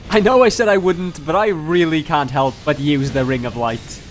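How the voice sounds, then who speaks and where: A man's voice: on the high side, normal speed, slight background noise. A man narrating his gameplay as a video host, mixing mock-epic roleplay with casual, profane jokes. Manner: profane, joking. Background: music.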